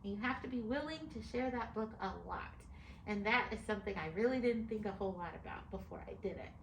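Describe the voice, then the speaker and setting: A woman's voice: mid-range voice, fast. A middle-aged American woman, an author explaining things step by step in an articulate way as she answers interview questions. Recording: echoey room with clear background noise.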